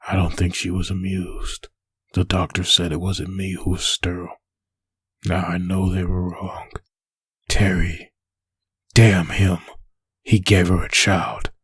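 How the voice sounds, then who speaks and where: A man playing a brooding, lovesick husband haunted by memories, in a husky voice for a dramatic comic dub. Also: low-pitched, flat delivery, a little slow, long pauses, no room sound, exaggerated voice. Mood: bitter, then anguished, jealous. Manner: brooding inner monologue.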